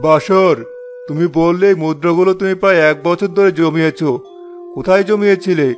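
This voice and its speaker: A man's voice: high-pitched, steady tone, fairly quick, soft background music, close to an everyday voice. A man voicing a fair village judge in a cartoon tale, questioning formally and with composure. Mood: calm, stern. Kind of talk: cartoon story voice acting.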